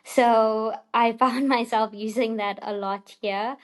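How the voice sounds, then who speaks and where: A woman's voice: fairly high pitch, steady tone, slow. A woman with a South African accent chatting casually as an interview guest, in a chatty, giggly manner.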